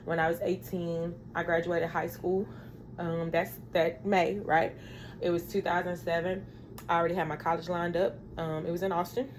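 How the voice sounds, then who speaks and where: A woman in her thirties chatting casually to her vlog viewers in a rambling, confiding way, calling them y'all. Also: fairly low pitch. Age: 30s. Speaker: vlogger. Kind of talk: casual chat to camera. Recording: faint background noise.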